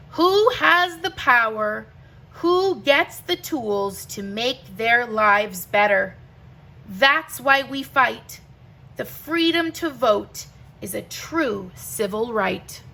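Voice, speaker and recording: A woman reads a children's picture book aloud at a very slow, measured pace. Her voice is bright and fairly high, and she places each phrase with deliberate emphasis, as a teacher would. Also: poor audio. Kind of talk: expressive read-aloud of a rhyming picture book.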